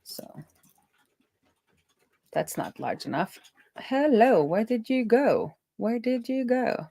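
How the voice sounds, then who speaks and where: A woman's voice: a little high, big pitch swings, slow pace, vocal fry, dark tone, husky, no room sound, clean studio sound. A woman live streaming a casual coding walkthrough, talking informally and thinking aloud to herself as she works.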